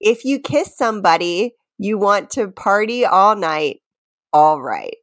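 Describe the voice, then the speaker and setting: A woman's voice: mid-range voice, animated, unhurried, no echo. Jokey woman podcast host, close dry sound. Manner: jokey, laughs often while talking. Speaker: podcast co-host and game quizmaster. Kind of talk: casual comedy chat with playful dramatic readings of song lyrics.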